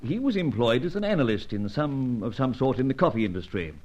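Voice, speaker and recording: A man acts out a gentlemanly spymaster from a vintage radio serial. His medium-pitched voice moves expressively through grave, formal, old-fashioned sentences. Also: animated, fairly quick, dull audio, natural voice. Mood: grave, briefing. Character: grave, gentlemanly British male spy chief.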